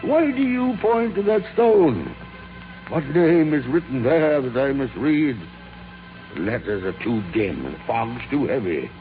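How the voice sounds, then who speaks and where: An actor playing an old miser named for repentance, with halting, exclamatory delivery in a 1940s radio drama. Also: male voice, mid-range voice, big pitch swings, faint music behind. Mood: fearful, pleading. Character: frightened, repentant old miser turned giddy with joy. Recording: tinny, band-limited 1940s radio recording.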